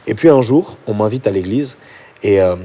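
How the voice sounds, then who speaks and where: A young man from Portugal, a pastor, telling his conversion story in a podcast chat, animated and then hushed at intimate moments. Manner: fast, animated storytelling, drops low and soft at intimate moments. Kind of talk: personal testimony in a podcast chat.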